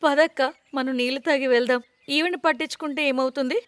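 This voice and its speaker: A woman's voice: sits high, steady tone, a little fast, dry recording, natural voice. A woman voicing an emotional young unmarried sister in a cartoon moral story, speaking dramatically. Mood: mocking. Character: young unmarried sisters, haughty, later tearful and devoted.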